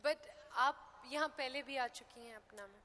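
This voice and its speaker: A young woman actress hosting on stage, introducing a guest slowly and deliberately while mixing Urdu with English. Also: high-pitched, sharp tone. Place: hotel ballroom stage. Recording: reverberant hall.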